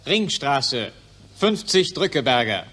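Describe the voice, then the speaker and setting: A man's voice: mid-range voice, very animated, slow, over loud music. A man playing the terse scene announcer of a satirical 1940s radio drama. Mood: matter-of-fact.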